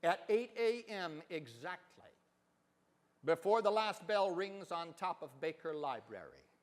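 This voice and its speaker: A man with a fairly high voice reads literature aloud in a reverberant hall. His phrasing is deliberate and theatrical, swelling for emphasis as in a staged dramatic reading. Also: expressive. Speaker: professor giving a dramatic reading.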